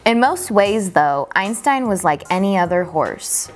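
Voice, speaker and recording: A woman reading a children's picture book aloud as a storyteller, expressively and deliberately, drawing out key words for emphasis. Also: mid-range voice, animated, soft background music.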